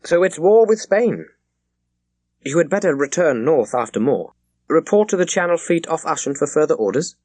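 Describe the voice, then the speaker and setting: A man's voice: on the high side, sing-song, moderate pace, a little mellow, no room sound, voice pushed up. A man acts out a stern grey admiral in a naval audiobook. He speaks in a raised, commanding, brusque tone. The recording is dry. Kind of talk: audiobook character voice.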